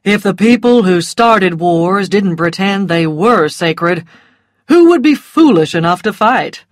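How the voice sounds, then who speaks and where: A woman voicing a cynical, mocking Southern gentleman who speaks in a bored, lazy drawl, in a storybook reading. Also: mid-range voice, lively intonation, takes it slowly, dry recording, studio quality.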